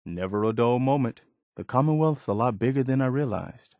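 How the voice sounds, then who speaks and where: An actor playing an earnest, loyal, plain-spoken militia man in a video game, sincere and measured. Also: mid-range voice, expressive, very noisy, close to an everyday voice. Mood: dutiful, easygoing. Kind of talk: naturalistic video game dialogue.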